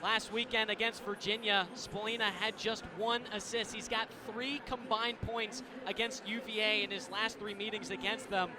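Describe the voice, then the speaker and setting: A man's voice: high-pitched, little pitch movement, normal speed, hardly any pauses, crowd noise. A man calling live lacrosse play-by-play on the radio in clipped present-tense phrases that build with the action. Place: live broadcast at a sports venue. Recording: noisy outdoor stadium ambience.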